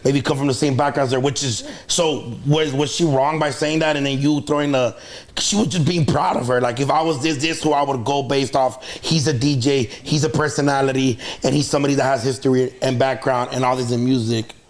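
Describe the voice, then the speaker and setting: A comedian hosting a podcast, talking loosely and rambling with many restarts. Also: male voice, mid-range voice, fairly even intonation, fairly quick. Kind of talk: casual podcast discussion.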